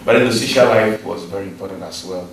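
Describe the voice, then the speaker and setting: A middle-aged Ghanaian man, a teacher, telling his story in an interview in an even, measured way with some fillers. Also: deep voice, vocal fry, recorded from a distance, a little hiss or hum. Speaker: guest, teacher. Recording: echoey room, voice from off mic.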